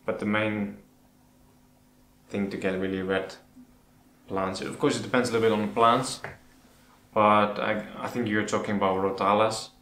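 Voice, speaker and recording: Male Dutch host, casual Q&A delivery, thinks aloud, flat intonation, roomy sound. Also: lowish voice, normal speed, long pauses. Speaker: host of an aquascaping channel. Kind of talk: casual question-and-answer talk to camera. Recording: roomy echo with background noise.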